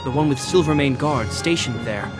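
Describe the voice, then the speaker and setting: A man's voice: mid-range voice, expressive, normal speed, over loud music, natural voice. A man voicing a courteous, dutiful guard officer in a video game, polite and helpful in a formal way. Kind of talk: English video game dub acting.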